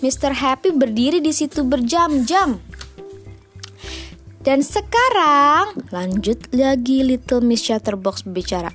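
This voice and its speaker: High-voiced Indonesian mother reading a bedtime story. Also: a little slow, soft background music. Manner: expressive, informal asides to child. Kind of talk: children's storybook narration.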